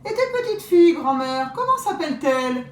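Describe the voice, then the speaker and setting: A woman voicing a greedy, sly big grey wolf who smacks his lips and wheedles in a high voice. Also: sing-song, moderate pace, a little hiss or hum. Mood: greedy wheedling. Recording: roomy echo.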